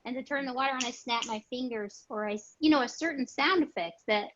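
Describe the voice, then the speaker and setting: A woman hosting a casual webinar discussion, brainstorming aloud with excitement and reading out chat suggestions. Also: sits high, quick pace, highs cut off, noisy background. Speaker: webinar host. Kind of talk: casual panel discussion on a video call.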